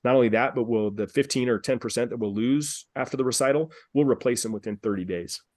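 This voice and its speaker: A man's voice: mid-range voice, fast talker, darkish tone, dry recording, clean studio sound. Upbeat, persuasive man hosting a coaching call. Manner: upbeat, persuasive, repeats himself, addresses callers by name. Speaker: dance studio business coach, call host. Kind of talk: live group coaching call. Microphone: video call.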